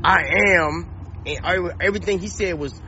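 A man's voice: high voice, fairly quick, sharp tone. A man hosting an on-location interview, casual and slangy, encouraging his guests with talk of faith. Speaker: host. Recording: dull outdoor recording with faint traffic.

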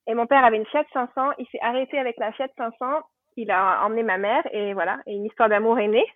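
A woman from France tells her story in a loose, rambling way, with plenty of fillers and restarts. Her voice sits a little high and the sound is tinny and narrow, like a phone line. The room gives off no echo at all. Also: fast talker. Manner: chatty, warm storytelling with frequent fillers and restarts. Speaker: guest. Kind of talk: casual podcast conversation.

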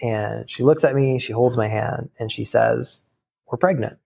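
A young man tells a personal story in an interview. He speaks in a casual, halting way, full of fillers and restarts. His voice has a gravelly fry at mid pitch. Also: a little mellow. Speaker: guest.

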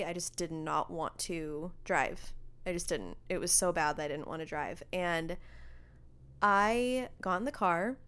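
A young woman co-hosting a casual talk show, chatty and quick as she tells her own stories, laughing easily. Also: fairly low pitch, clear, resonant tone. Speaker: podcast co-host. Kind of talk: casual chat.

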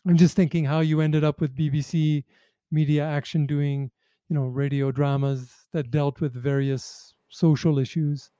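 A man's voice: on the high side, level tone, normal speed, dark tone, no room sound. A man interviewing someone conversationally, thoughtfully rephrasing his questions as he goes.

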